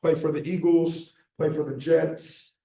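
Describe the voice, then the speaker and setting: An American man in his thirties with a slightly raspy voice, giving an informal, halting motivational talk as a guest speaker. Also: fairly high pitch, little pitch movement, dark tone. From Illinois, United States. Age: 30s. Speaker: guest speaker, football coach. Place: echoey indoor meeting room. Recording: very echoey room, distant mic.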